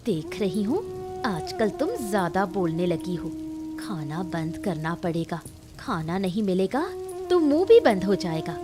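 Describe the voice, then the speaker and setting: A woman's voice: on the low side, big pitch swings, measured pace, music in the background. A woman voicing a cruel, quarrelsome young daughter-in-law in a cartoon melodrama, taunting and threatening harshly. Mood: scornful, angry. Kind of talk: Hindi cartoon family melodrama.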